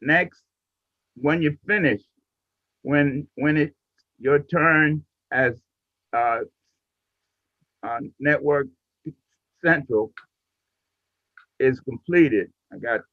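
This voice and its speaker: A man's voice: on the high side, extremely slow, long pauses. A man instructing a class in a casual, patient, step-by-step way over a video call. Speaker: instructor leading a radio training class. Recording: muffled, roomy video call audio.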